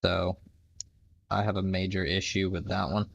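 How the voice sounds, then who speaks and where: A man's voice: low-pitched, flat delivery, moderate pace, creaky, no room sound. A man casually commenting on a clip he is watching, blunt and dismissive, laughing at it, recorded on a dull lo-fi mic.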